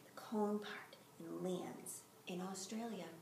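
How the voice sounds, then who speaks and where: A woman's voice: medium pitch, steady tone, slow pace, gravelly, a little mellow. A woman reading a children's picture book aloud expressively, leaning dramatically on key words. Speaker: storybook reader. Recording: roomy, distant mic, faint background noise.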